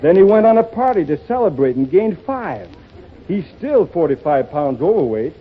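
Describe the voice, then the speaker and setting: An actor playing a stern, bossy gym instructor in a 1930s radio comedy sketch, brisk and scolding. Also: male voice, fairly high pitch, big pitch swings, husky. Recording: tinny reverberant old radio broadcast.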